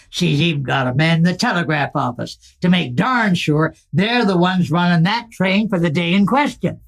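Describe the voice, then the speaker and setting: A man's voice: sits high, lively intonation, normal speed, creaky. A man playing a folksy American outlaw who brags about his gang in a radio western. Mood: gleeful, boastful. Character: folksy, admiring Old West gang outlaw.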